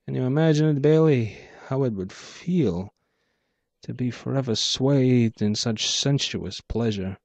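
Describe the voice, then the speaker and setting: A man voicing a theatrical, gloating clockwork gangster boss with taunting, drawn-out phrasing in a noir audiobook. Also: mid-range voice, a little mellow, dry recording. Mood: wistful wonder. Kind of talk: dramatic audiobook character voice, noir pulp.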